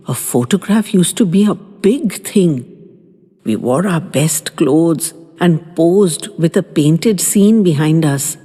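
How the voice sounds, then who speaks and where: Warm, husky woman narrating a gentle story. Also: low voice, in a large hall. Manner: gentle, reminiscing first-person telling.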